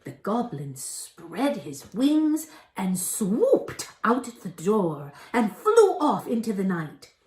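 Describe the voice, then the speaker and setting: A woman in her sixties giving an expressive storybook narration. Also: medium pitch, slow pace, hardly any pauses. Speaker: story reader. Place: room at home, indoors. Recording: roomy echo.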